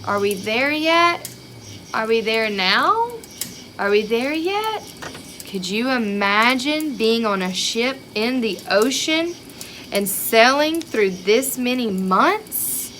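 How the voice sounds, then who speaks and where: A woman reading a picture book aloud to young children in an expressive way, with chatty asides and questions to her listeners. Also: fairly high pitch, takes it slowly, slight background noise. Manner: expressive, child-directed, with rhetorical questions and exclamations.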